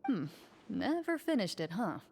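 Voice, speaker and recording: A woman's voice: mid-range voice, sing-song, vocal fry, a little mellow, a little hiss or hum. Stern, composed swordswoman. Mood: calm assurance. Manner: measured, formal, cool. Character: stern, composed, principled female duelist. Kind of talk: anime game voice acting.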